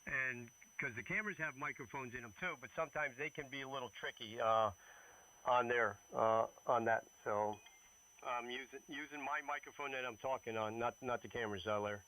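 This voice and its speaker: Male ham operator, medium pitch, bright edge, halting casual delivery, faint hiss and hum on the signal. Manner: halting, hesitant phrasing with many pauses. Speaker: amateur radio operator. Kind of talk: casual on-air ham radio chat. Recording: noisy radio transmission with hum.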